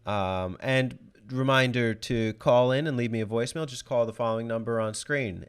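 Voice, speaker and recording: Guy doing casual game commentary, medium pitched voice, talks it through like he's thinking out loud. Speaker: host answering listener voicemails.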